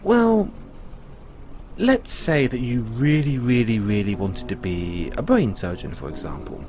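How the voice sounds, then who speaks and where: A man playing a patient owner talking to his android in an audio roleplay, explaining in a musing way with pauses. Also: mid-range voice, big pitch swings, over music. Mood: musing, explanatory. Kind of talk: audio roleplay, conversational storytelling.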